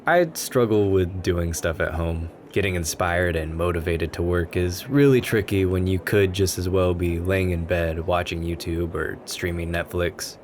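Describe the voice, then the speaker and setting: Low male voice, man acting as shy sweet café writer, gentle, anxious rambling, ASMR roleplay, clear background ambience. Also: steady tone, moderate pace, few pauses, lightly acted. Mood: chatty, warm. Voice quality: gentle, soft-spoken. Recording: noticeable coffee shop ambience noise. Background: coffee shop ambience.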